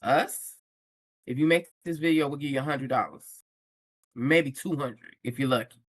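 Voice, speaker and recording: A woman's voice: very deep voice, normal speed, breathy edge, dry recording, studio quality. A woman guest on a podcast, talking candidly in casual chat and telling stories with plenty of slang. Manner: candid, slang-laced storytelling. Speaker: podcast guest, book influencer. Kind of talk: casual conversation.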